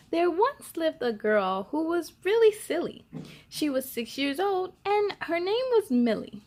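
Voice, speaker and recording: A woman hosting a children's storytime, reading a picture book aloud in an excited, expressive, giggly way. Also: high-pitched.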